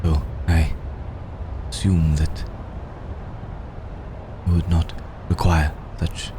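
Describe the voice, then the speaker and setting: Over clear storm ambience comes a humble, devoted male servant acted by a man in an audio roleplay. His voice is very low, and he chooses formal, deferential words haltingly, at a very slow pace. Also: little pitch movement, background noise, full character voice. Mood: subdued, reverent. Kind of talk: ASMR audio roleplay drama.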